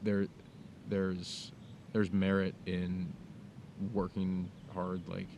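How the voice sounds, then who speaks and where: A young man with a very low, even voice talks in a halting, reflective way, circling back and restarting as he thinks. He is recorded outdoors with a clear rumble of noise behind him. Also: muffled recording. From United States. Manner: thoughtful, halting, many fillers and restarts. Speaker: podcast co-host. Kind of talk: casual conversation. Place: outdoors in the mountains.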